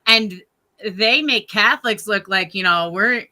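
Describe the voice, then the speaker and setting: A woman's voice: mid-range voice, unhurried, sharp tone. A woman in her thirties, a guest talking in a chatty way and laughing often during a casual interview. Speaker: guest, ghost tour guide.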